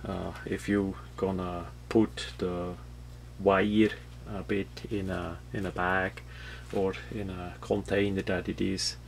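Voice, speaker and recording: Swiss accent guy, not a native speaker, lowish flat voice, rambling halting product explainer. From Switzerland. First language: not English. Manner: halting, rambling, matter-of-fact. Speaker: product reviewer. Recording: clear background noise with hum.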